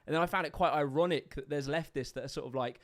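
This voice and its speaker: A man's voice: a little high, fast talker. A young British man with a mixed multicultural London accent, hosting a livestream interview in a casual, rambling way with lots of fillers. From Britain. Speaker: host.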